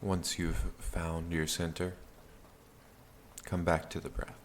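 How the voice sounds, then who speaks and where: Deep, slow-speaking man guiding meditation. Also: a little hiss or hum. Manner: calm, soothing, gentle phrasing with long pauses. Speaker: guided meditation teacher. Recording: steady rain sound behind the voice. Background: rain backtrack.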